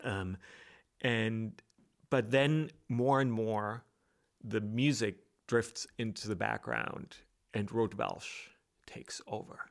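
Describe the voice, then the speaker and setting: Hesitant gravelly-voiced man with roots. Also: on the low side, takes it slowly. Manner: hesitant, with many restarts and fillers. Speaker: author and guest. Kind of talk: reflective interview talk.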